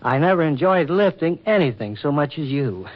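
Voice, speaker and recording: Actor playing a stiff, high-voiced gentleman, muffled recording. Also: animated, normal speed, heavy background noise, close to an everyday voice. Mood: shyly tender. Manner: formal, courtly, slightly awkward. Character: stiff, earnest, smitten male government official. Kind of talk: 1940s radio acting. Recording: muffled vintage radio recording, faint music.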